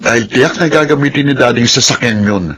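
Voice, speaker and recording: A smug, scheming male villain in a melodrama, acted by a man. He is a greedy grown son with a shifty manner and an average-pitched voice, recorded with slight background noise. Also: quick pace, fairly bright tone, close to an everyday voice. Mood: gloating. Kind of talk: Filipino melodrama dialogue.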